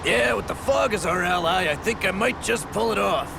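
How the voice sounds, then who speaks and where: A man playing a seasoned, easygoing sailor in a video game, with a gravelly voice and folksy, confident talk. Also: sits high, expressive, moderate pace, noisy background, full character voice. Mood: matter-of-fact. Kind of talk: scripted video game voice acting.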